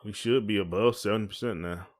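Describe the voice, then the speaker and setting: A man's voice: on the low side, fast. A man co-hosting a casual podcast, talking in a folksy, rambling way and repeating his phrases. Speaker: podcast co-host. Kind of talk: casual conversational podcast chat.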